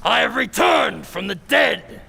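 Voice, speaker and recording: A stern, dead colonial governor risen as a ghost in an old-time radio play. The delivery is shouted and slow, with archaic words booming through long hall reverb. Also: male voice, sing-song, creaky, breathy edge, heavily acted. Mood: wrathful, commanding.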